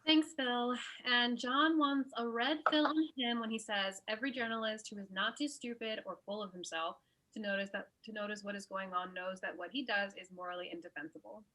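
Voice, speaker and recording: A woman's voice: mid-range voice, fairly even intonation, brisk, pushed and bright, close to an everyday voice, rough recording. A woman playing a busy, sensible lawyer, dry and matter-of-fact, in a comedy table read. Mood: businesslike.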